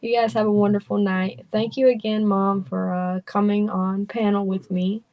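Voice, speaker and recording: A woman's voice: medium pitch, normal speed, muffled recording. Laid-back young woman streamer. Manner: relaxed, drawling, chatty. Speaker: streamer and host. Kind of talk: casual livestream chat.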